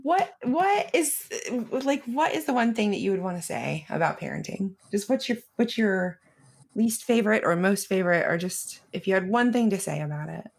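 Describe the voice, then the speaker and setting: A woman's voice: medium pitch, animated, normal speed, gravelly, breathy edge. A woman hosting a casual chat, with a playful, teasing manner and dry jokes. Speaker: podcast host.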